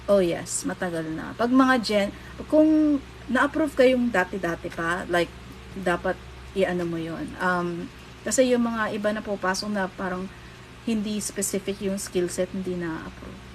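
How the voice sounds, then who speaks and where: A woman's voice: mid-range voice, normal speed, a little hiss or hum, poor audio. A woman in her thirties from the Philippines with a Filipino accent, hosting a casual livestream and giving blunt, candid career advice with the odd casual swear. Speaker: livestream host and career coach.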